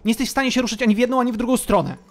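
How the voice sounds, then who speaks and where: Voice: mid-range voice, racing, soft background music. A game master narrating a tabletop roleplaying game and adding vocal sound effects.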